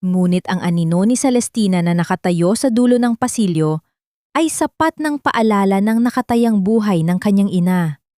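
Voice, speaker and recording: A woman's voice: mid-range voice, lively intonation, moderate pace, dark tone, no echo, studio quality. A woman narrating a scripted story in a dramatic storytelling style.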